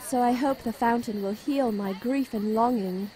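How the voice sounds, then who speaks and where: Woman playing an earnest lovelorn witch, highish voice. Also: moderate pace, mellow, a little hiss or hum, natural voice, poor audio. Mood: wistful. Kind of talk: amateur audio drama acting.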